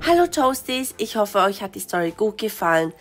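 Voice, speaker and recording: A hoarse woman with a cold, the channel host, chatting to viewers in a friendly outro. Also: medium pitch, sing-song, normal speed, soft background music. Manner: chatty, grateful.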